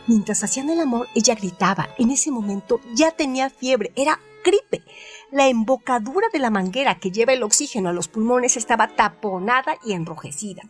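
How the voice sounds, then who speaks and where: Expressive woman narrator with a high voice. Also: soft background music. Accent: Spanish. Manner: measured, expressive reading. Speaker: narrator and host. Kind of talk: literary narration and critical analysis.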